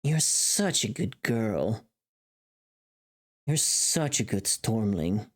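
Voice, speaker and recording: A soothing, doting caretaker, acted out by a streamer, with the voice dropped low. The words come slowly and tenderly, as gentle praise for someone cared for. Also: sing-song, exaggerated voice. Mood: tender, praising. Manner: slow, drawn-out tender delivery.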